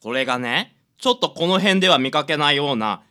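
A silly, nosy junior sidekick, played by an actor in a radio drama. The mid-pitched voice is forceful and highly animated, excitable and full of mock accusation. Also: brisk. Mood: teasing.

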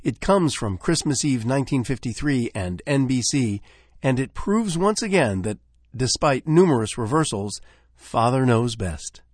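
A dry, close studio sound frames an American man presenting a radio program from a script. His voice sits at a medium pitch and rises and falls in a lively way. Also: a little slow, slightly rough, no room sound. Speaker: radio host. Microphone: close studio microphone.

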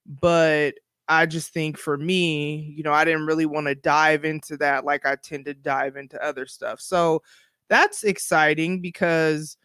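A woman's voice: low voice, fairly even intonation, measured pace, a bit bright, smooth, no echo, clean studio sound. A woman in her forties hosting her own podcast, talking in a casual, rambling, encouraging way. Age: 40s. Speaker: podcast host. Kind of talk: casual solo podcast chat.

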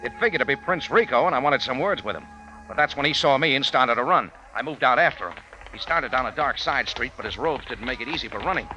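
An actor playing a tough, wry bar owner hero in an old radio crime drama, narrating and talking in terse, slangy phrases. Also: male voice, medium pitch, expressive, fast talker, sharp tone. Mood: brisk narrating. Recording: dull vintage radio recording, music behind.

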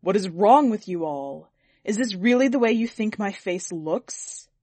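Lady reader doing a vain pompous rich master, huffy and quick tempered, storybook style, dry sound. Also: mid-range voice, animated, mellow, slightly rough, no room sound. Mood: angry, demanding. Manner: pompous, indignant, emphatic.

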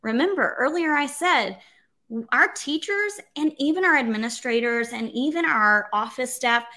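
A woman's voice: high voice, animated, normal speed, pushed and bright. An enthusiastic, emphatic woman chatting casually as an instructional coach leading a livestream tutorial and answering comments.

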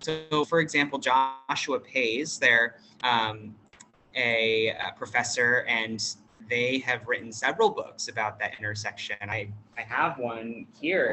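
A non-binary American teacher at an international school, speaking in a relaxed interview over a video call. They talk thoughtfully and hesitantly, with many fillers and restarts. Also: medium pitch, a little slow, slight background noise, low-quality recording. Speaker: workshop facilitator.

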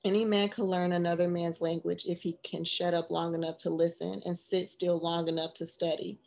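A woman narrating a memoir as an audiobook, reading in a measured, even way. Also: lowish voice, vocal fry.

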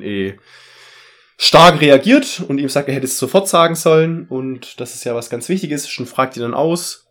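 A man with a cold, summarizing a book chapter in a casual podcast chat with many fillers. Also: medium pitch. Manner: chatty, with many fillers and laughs. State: has a cold. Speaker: podcast co-host.

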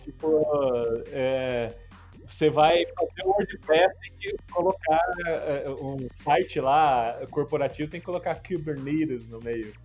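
A man's voice: a little high, takes it slowly, darkish tone, soft background music. A man with a Brazilian accent on a tech podcast, patiently explaining ideas with frequent tag questions. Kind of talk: casual tech discussion.

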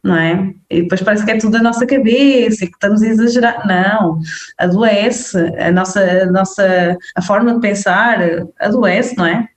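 A young woman with a northern Portuguese accent, a guest talking casually in an interview, lively and full of fillers and quick checks with the listener. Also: mid-range voice. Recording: roomy echo.

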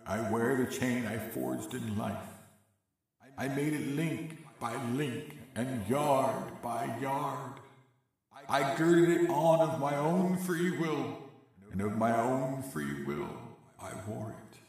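A man voicing a doleful, weary ghost of an old man, slow and mournful, with a heavy ghostly reverb effect. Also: medium pitch, expressive, gravelly, pitched above the performer's own voice. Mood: grave. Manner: slow, mournful, hollow intoning. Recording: echoing reverb, distant from mic.

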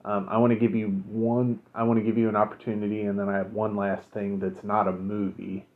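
A low-pitched man chats easily as a podcast host, pausing often with ums and uhs. His voice comes through a narrow, phone-like line. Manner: relaxed, many fillers, measured. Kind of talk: casual chat, recommending shows.

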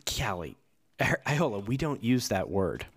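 A man co-hosting a casual podcast, mixing read-aloud passages with relaxed, dry-humored chat. His pitch rises and falls freely as he speaks. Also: unhurried, slightly rough. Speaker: podcast co-host reading from a book.

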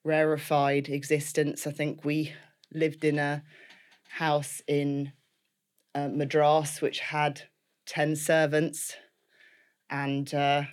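A woman in her fifties with a clear Liverpool accent, talking through her life story as an interview guest. She is chatty and laughs often, with plenty of ums and restarts as she goes. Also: deep voice, monotone, takes it slowly, pauses often. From Liverpool, England.

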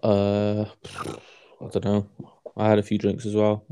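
A young man co-hosting a podcast, speaking calmly and teasing the others in a dry way. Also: lowish voice, measured pace, smooth. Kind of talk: casual banter.

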